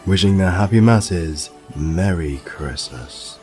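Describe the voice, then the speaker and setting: Man playing a low, deadpan British straight man. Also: takes it slowly, soft background music. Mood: storytelling. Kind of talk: comedic audio roleplay and storybook narration.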